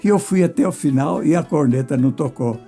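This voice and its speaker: A man's voice: a little high, expressive, brisk, warm tone, soft background music. An older man with a Minas Gerais Brazilian accent, reminiscing and acting out his stories as a veteran radio performer. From rural Minas Gerais, Brazil. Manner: acts out dialogue and sounds. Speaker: veteran radio singer and actor. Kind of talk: animated reminiscing, storytelling.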